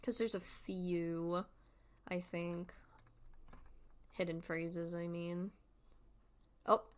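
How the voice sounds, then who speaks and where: A woman's voice: lowish voice, flat delivery, extremely slow. Woman rambling casually on a livestream. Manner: informal, rambling, thinking aloud. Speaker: livestream host.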